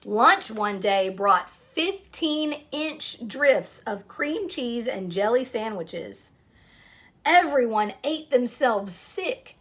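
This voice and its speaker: High-voiced woman reading slowly to children. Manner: expressive, addresses young listeners with questions. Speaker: storyteller reading aloud to children. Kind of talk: children's picture book read-aloud with asides.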